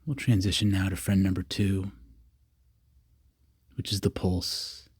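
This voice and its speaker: A man leading a guided meditation, giving calm, simple, repeated instructions with long pauses between them. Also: sits low, slightly rough, no room sound, clean studio sound. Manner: calm, repetitive, unhurried cues with long pauses.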